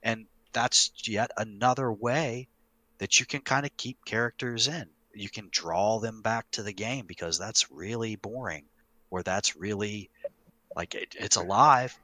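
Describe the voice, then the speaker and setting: A man with a low, forceful voice talks casually on a podcast as a game master. He explains tabletop storytelling in conversational terms, and the recording is dry with little room sound. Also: a little slow, no room sound.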